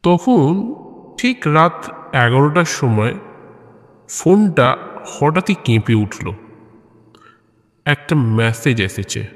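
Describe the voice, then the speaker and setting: A man narrating and hosting scripted audio horror stories in a measured way, pausing between short phrases. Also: on the high side, sing-song. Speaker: host and narrator of an audio story channel. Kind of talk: scripted horror story narration and channel introduction. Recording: long reverb on the voice.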